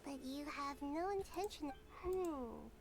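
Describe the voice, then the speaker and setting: A woman's voice: sits high, animated, slow pace, a little hiss or hum, heavily acted. A woman voicing a timid, flustered, kindly young woman with a hesitant, polite manner in an anime game. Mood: flustered, unsure. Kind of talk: anime game dialogue acting.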